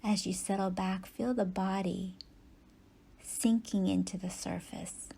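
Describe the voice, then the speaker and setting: A woman meditation teacher leading a guided yoga nidra practice in soft, breathy, unhurried phrases with long pauses. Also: mid-range voice, steady tone.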